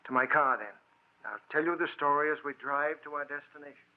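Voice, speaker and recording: A man's voice: on the high side, brisk, close to an everyday voice. An actor playing an earnest, level-headed man, urgent and reassuring, in the theatrical style of forties radio drama. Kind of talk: 1940s radio drama acting. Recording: tinny vintage radio recording.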